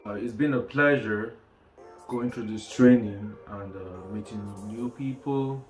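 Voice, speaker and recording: Slow, low-voiced man in an echoey room. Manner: halting, casual, with many pauses and "you know". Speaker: trainee giving a testimonial. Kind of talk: informal recorded testimonial. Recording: echoey room with music over it. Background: music.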